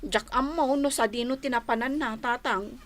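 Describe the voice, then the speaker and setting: A woman's voice: a little high, normal speed, fairly bright tone, natural voice. A woman playing a fretful, complaining young wife in a radio comedy drama. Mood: worried.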